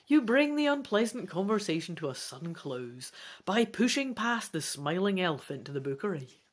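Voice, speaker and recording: A woman's voice: on the low side, sing-song, normal speed. A woman reading a fantasy gamebook aloud as a dramatic narrator. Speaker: gamebook reader.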